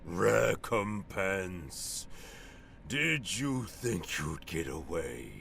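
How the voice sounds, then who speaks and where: An actor playing the accusing ghost of a murdered victim in a radio mystery, with a gravelly voice and drawn-out delivery. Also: male voice, low voice, sing-song, fairly bright tone, slight background noise, heavily acted. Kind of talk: radio mystery theatre acting.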